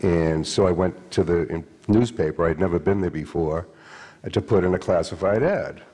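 Deep, mellow older man telling stories hesitantly. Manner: halting, storytelling, lots of fillers and restarts trailing soft. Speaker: author. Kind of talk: onstage conversation. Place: stage of a hall with an audience. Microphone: handheld mic. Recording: long hall reverb.

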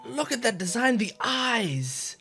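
A man's voice: very high voice, big pitch swings, takes it slowly, bright, forceful tone. A man in his twenties chatting casually and enthusiastically as a YouTube reaction host, close to a desk microphone in a bedroom. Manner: enthusiastic, gushing, swearing. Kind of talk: casual excited reaction chat. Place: small bedroom at a computer desk. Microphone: desk boom microphone. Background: game trailer music.

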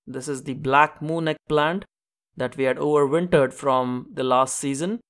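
A man's voice: mid-range voice, fairly even intonation, a little slow, a bit bright. A man hosting a gardening show, narrating an instructional tour in an enthusiastic, explanatory way. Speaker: host of a home gardening show.

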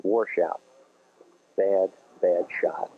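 A man's voice: low voice, fairly even intonation, very slow. A man with a Midwestern American accent doing TV bowling commentary, folksy and explaining the game to viewers. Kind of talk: TV sports commentary. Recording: dull lo-fi 1980s TV broadcast.

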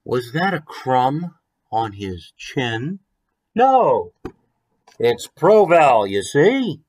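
A man's voice: mid-range voice, very slow, husky. American man reading a children's picture book. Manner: expressive, conversational storytelling. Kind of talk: children's story time read-aloud with chatty asides. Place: small room at home, indoors.

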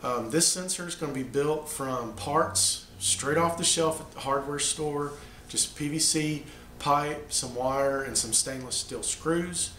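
A middle-aged man, a little hoarse from the flu, explaining a DIY build in his home workshop, plain and halting with many ums. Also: fairly high pitch, pushed and bright, reverberant, slight background noise. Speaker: DIY maker. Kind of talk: casual how-to explanation.

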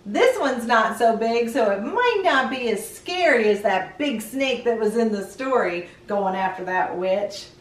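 Female children's host, middle-aged: high voice, unhurried pace, sing-song storytime delivery. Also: roomy sound. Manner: animated, sing-song, talks directly to young children. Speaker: children's read-aloud show host. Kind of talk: children's storytime presenting and picture-book reading.

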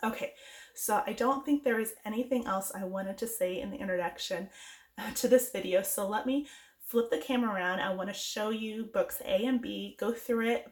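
A woman in her thirties, a homeschool mom getting over a cold, chatting casually and rambling with fillers while reviewing books at home. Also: medium pitch.

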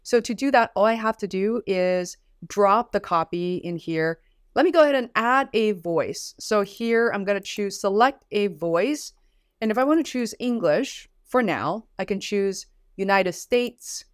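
A woman in her thirties, a native Mandarin Chinese speaker, chatting upbeat through a software tutorial in her home studio. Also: mid-range voice, animated, unhurried, clear, resonant tone, dry recording. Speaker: host.

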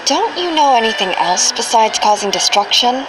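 Woman as a high-pitched, sullen teenage daughter. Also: sing-song, normal speed, background noise. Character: sullen rebellious teenage daughter, haughty and dramatic. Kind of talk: comic dub acting. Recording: loud music behind the voice.

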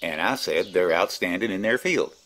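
Man playing lively, obtuse country buddy. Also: deep voice, normal speed, very noisy. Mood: obtuse, unflappable. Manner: folksy, deadpan wordplay. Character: obtuse, unflappable rustic country buddy, cheerfully pedantic. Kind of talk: absurdist radio comedy acting.

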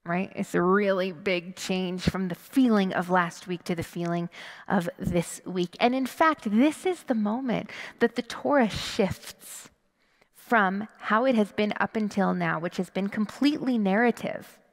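A woman in her thirties giving a sermon as a rabbi, conversational and expressive, with dramatic pauses and rhetorical questions, in a large sanctuary on a headset mic. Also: mid-range voice. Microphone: headset microphone. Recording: long reverberant hall echo.

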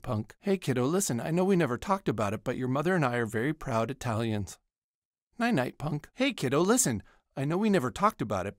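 An actor playing a put-upon, scatterbrained suburban dad in a cartoon video game, talking in a casual, rambling way. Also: male voice, medium pitch, no room sound, studio quality. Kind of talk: scripted video game voice lines.

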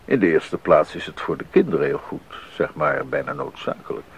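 Low male voice, a sober devout composer, measured, radio play, dull slightly hissy recording. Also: big pitch swings, slightly rough, heavily acted. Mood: earnest, resolute. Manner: measured, reflective, earnest. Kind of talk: Dutch radio drama acting.

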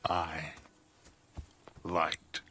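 An actor playing a proud, brooding American outlaw gang leader in a Western game, with a gravelly voice. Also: male voice, rumbling low, monotone, very slow, pushed and bright, full character voice. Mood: guarded. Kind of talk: game cutscene dialogue. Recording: game scene with background noise.

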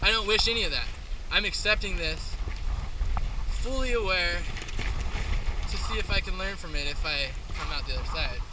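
Young male sailor, seasick, reflective and confessional, fairly high voice over wind noise on a night boat. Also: moderate pace, long pauses. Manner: candid, musing, quiet and confessional. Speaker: sailing vlogger. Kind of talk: reflective vlog monologue. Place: sailboat at night in a storm, outdoors. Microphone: action camera. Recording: loud wind and water noise.

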